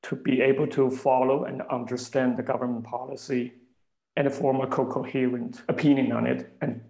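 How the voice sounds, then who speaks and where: A man's voice: mid-range voice, flat delivery, moderate pace, vocal fry, darkish tone. A man with a Chinese accent, giving explanatory answers as a podcast guest. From China. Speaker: podcast guest, venture capital investor. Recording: roomy, echoing room.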